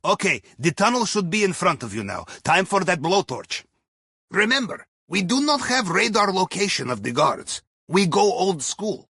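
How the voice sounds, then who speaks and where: A jovial Russian captain guides a crew through a video game heist. His Russian-accented voice sits high for a man and swings widely in pitch, with dropped articles and playful questioning tags. The sound is dry and close. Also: very animated, normal speed, bright, forceful tone, husky, no echo, full character voice. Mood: wry, instructive. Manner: playful, theatrical, drops articles, tags sentences with questioning "yes?" or "huh?". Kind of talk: video game mission dialogue over comms.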